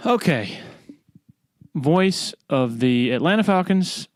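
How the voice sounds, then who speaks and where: A man's voice: on the high side, expressive, takes it slowly, no echo, clean studio sound. A man hosting a podcast, speaking casually and informally. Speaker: podcast host, interviewer. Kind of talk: casual podcast talk and interviewing.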